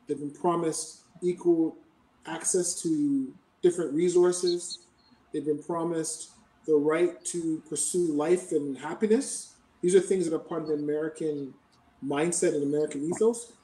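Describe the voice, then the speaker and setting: Man from Canada, measured explainer, mellow tone, echoey room, distant from mic. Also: a little high. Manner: measured, explanatory, with frequent "right?" tags. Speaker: podcast guest. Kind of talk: thoughtful interview answer.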